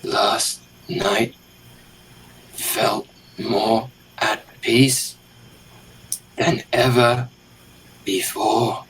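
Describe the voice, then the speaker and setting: A man playing a dead adventurer in tabletop roleplay, solemn and tender, speaking in halting fragments. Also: lowish voice, fairly even intonation, a bit bright, a little hiss or hum, rough recording. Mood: tender, mournful. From Britain. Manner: halting, short clipped fragments. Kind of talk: improvised tabletop roleplay drama.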